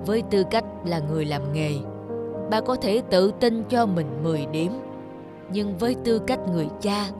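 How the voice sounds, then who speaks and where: An actor playing an earnest, remorseful older father who speaks in a slow, measured, solemn way in an animated drama. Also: sits high. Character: grey-bearded old father, veteran animator, earnest and remorseful. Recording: background music under the voice.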